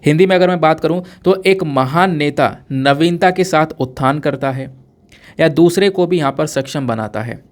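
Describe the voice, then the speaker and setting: A man's voice: on the high side, normal speed. Man teaching, with a sore throat. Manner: explanatory, poses questions and answers them, code-mixes English terms. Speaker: exam-prep teacher. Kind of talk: current affairs lecture, conversational.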